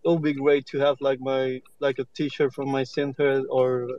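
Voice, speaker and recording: A man's voice: medium pitch, flat delivery, measured pace, darkish tone. A man asking a question as an audience member in a casual, polite chat. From lives in Orlando. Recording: muffled lo-fi line, clear background noise.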